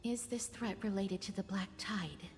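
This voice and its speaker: A woman's voice: mid-range voice, normal speed, natural voice. A woman voicing an earnest, composed young heroine who lays out her reasoning. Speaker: game character. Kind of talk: video game voice acting. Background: game music.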